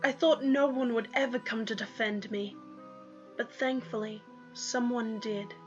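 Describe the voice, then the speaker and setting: A woman's voice: a little high, fairly even intonation, measured pace. A young woman giving a dramatic first-person reading of a story, on a lo-fi recording with music behind. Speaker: reader of own story.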